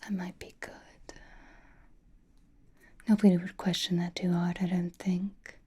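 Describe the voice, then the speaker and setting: A flirtatious, possessive vampire acted by a woman whispers in an intimate ASMR roleplay. The delivery is very breathy and unhurried, with a soft, mellow tone. The vampire teases and reassures in turn. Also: fairly low pitch, little pitch movement. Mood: wry, teasing. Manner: intimate, slow whispering with sighs and soft hums.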